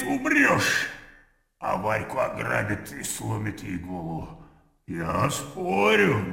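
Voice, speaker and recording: Garrulous old army colonel, sardonic and very gravelly, swinging widely in pitch, radio play. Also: male voice, a bit bright, exaggerated voice. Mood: blunt, sardonic. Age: older. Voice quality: gravelly, booming. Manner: blustery, rambling, punctuated with interjections. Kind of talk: theatrical radio drama acting. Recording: echoey hall with long reverb, music behind.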